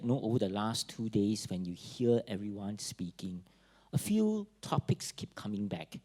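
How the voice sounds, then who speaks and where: A man with a Singaporean accent giving a public talk at a forum, pausing often with fillers and restarts. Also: medium pitch, mellow, breathy edge. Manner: halting, many fillers and restarts. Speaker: forum speaker.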